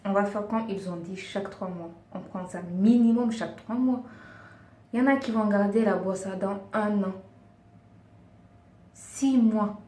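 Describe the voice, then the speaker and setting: A woman's voice: mid-range voice, measured pace, pauses often, warm tone. Woman advising from afar in an echoey room. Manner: earnest, coaxing, often addresses her viewers as girls; not speaking her first language, sometimes searches for words. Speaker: beauty and lifestyle vlogger. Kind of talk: casual advice vlog. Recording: roomy echo, distant mic, faint background hiss.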